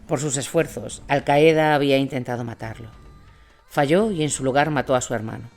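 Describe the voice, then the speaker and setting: A man's voice: mid-range voice, brisk, darkish tone. A man delivers scripted dramatic narration of a war story. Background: instrumental music.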